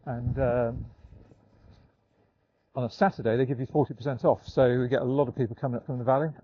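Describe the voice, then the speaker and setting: An older man chatting casually and unhurriedly, with halting pauses, as he records a walking vlog outdoors. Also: medium pitch, fairly even intonation, dull audio, a little hiss or hum. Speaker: vlogger. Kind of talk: casual rambling vlog talk while walking. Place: outdoors, walking in snowy woods.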